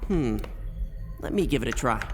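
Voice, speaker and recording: A man voicing a confident, wry teenage boy hero in an animated video game. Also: medium pitch, moderate pace, over loud music, lightly acted. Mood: curious, casual.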